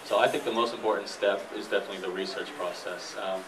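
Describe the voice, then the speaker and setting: A man's voice: lowish voice, fairly even intonation, quick pace, distant mic. A man giving an informal, explanatory talk and answering questions as a guest speaker in a noisy live room. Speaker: guest speaker, internet entrepreneur and blogger.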